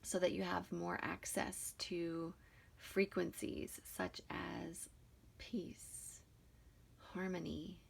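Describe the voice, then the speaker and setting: Woman, meditation guide, unhurried pace, creaky fry, soft breathy endings, soothing, faint background noise. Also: fairly low pitch. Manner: soothing, deliberate, with long pauses between short phrases.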